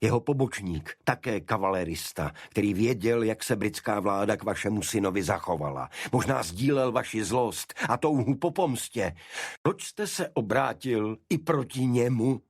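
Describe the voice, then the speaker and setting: A man's voice: fairly low pitch, expressive, slightly rough, no echo, studio quality, voice pushed up. A man voicing a relentless male detective in an audiobook, pressing his questions with accusation. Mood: accusing.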